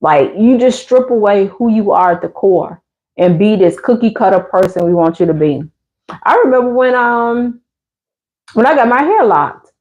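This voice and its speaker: A woman's voice: mid-range voice, normal speed. An American woman in her fifties chatting casually and candidly with viewers as a livestream host, in colloquial speech. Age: 50s. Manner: candid, conversational, colloquial, animated retelling with acted-out quotes. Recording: slightly dull lo-fi livestream audio.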